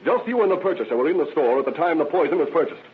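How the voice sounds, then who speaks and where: An actor playing a pressing, emphatic defense attorney in an old radio drama. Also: male voice, on the high side, animated, racing, lightly acted. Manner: pressing, emphatic cross-examination. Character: sharp, challenging male defense attorney. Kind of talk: 1940s radio acting. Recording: thin, muffled old radio recording.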